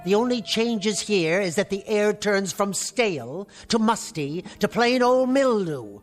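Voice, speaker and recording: A woman's voice: mid-range voice, expressive, measured pace, soft background music, close to an everyday voice. An actress playing a weary, resentful middle-aged niece who answers her uncle with clipped, sarcastic retorts. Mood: tart, weary. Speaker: put-upon niece and housekeeper. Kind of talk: 1960s TV drama acting.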